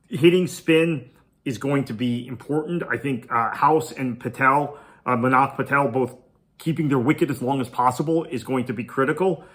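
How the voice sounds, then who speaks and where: A man's voice: mid-range voice, a bit bright. A man whose first language is Tamil, hosting a podcast and talking through a cricket match preview in a conversational, thinking-aloud way. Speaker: podcast host. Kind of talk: solo cricket preview commentary.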